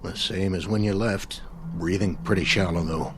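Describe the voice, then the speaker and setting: A man's voice: low-pitched, steady tone, normal speed, background noise, natural voice. An actor playing a bitter, self-serving, confrontational crewman stranded in space, in a radio drama. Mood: sour, weary. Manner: sardonic, confrontational.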